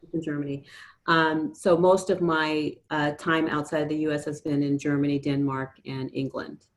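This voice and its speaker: A woman with a low voice talks as a podcast guest. She works her way through her thoughts hesitantly, pausing often with "um.". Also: flat delivery. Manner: hesitant, with many "um" pauses. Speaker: interview guest. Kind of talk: conversational interview.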